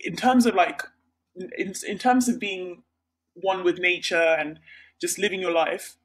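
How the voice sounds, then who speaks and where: A young woman from Sri Lanka, a healthcare researcher, answering interview questions in a bright, chatty way with many pauses and restarts. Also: lowish voice, husky, low-quality recording. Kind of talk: casual interview.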